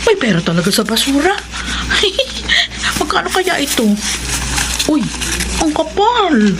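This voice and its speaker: A woman's voice: high-pitched, sing-song, slow, background noise, heavily acted. An actress playing a caring, hard-up mother who works as a housemaid in a radio drama, plain-spoken and deferential to her employer. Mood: astonished. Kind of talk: Tagalog radio drama acting.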